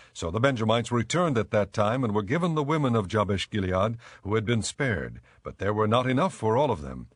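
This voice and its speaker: A man's voice: lowish voice, no room sound, clean studio sound. A man reading scripted dramatic Bible narration as the narrator.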